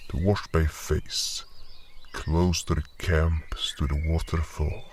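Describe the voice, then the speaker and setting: Man playing a slow, low-voiced fantasy hunter. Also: slight background noise. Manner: measured, deliberate, pausing mid-sentence. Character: Neart, weary curious male monster hunter telling his tale. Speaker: narrator and character in an audio drama. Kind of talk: slow scripted first-person fantasy narration.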